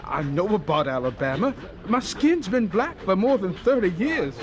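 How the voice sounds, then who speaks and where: A gentle, resolute scientist in his thirties for a radio drama. The voice sits fairly high and moves with lively, earnest swings. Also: normal speed. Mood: cheerful, resolute.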